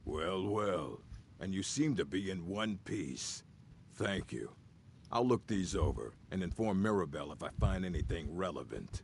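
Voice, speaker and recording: Aged orc keeper of books for a fantasy game: deep, creaky, stern, gruffly scholarly. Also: male voice, lively intonation, a little slow, slight background noise, heavily acted. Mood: gruffly intrigued.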